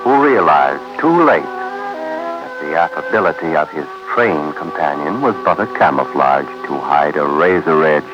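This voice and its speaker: A man narrating a 1950s radio thriller in a dramatic, ominous, florid style. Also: extremely low pitch. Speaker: announcer and narrator. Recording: dull vintage radio recording. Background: dramatic music.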